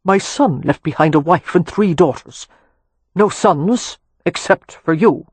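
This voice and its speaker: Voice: mid-range voice, unhurried, breathy edge, no echo. A reader voicing a proud, imperious old Irish earl with an Anglo-Irish accent, who speaks in a cold, commanding and dismissive way. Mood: haughty. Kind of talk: audiobook character reading.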